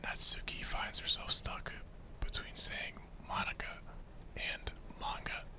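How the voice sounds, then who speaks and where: A man playing an easygoing young male student, narrating a visual novel in a hushed ASMR whisper. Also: measured pace.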